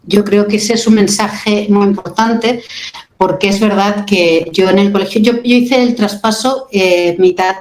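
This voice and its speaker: A woman in her fifties hosts a radio interview in a steady, reflective way. Her voice is middle-pitched, and the room around her rings with echo. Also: measured pace. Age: 50s. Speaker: radio host.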